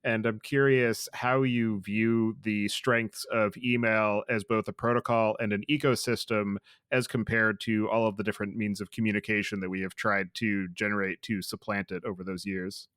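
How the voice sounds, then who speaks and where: A man's voice: fairly low pitch, flat delivery, normal speed, few pauses, a bit bright, dry recording, clean studio sound. A man hosting a podcast interview, posing formally worded questions in long, articulate sentences.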